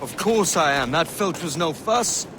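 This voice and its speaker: A man's voice: fairly high pitch, animated, a little slow, noisy background. A man acting a roguish young pirate sailor in a video game, with a Welsh accent and a breezy, wry, cheeky manner. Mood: dry, cocky.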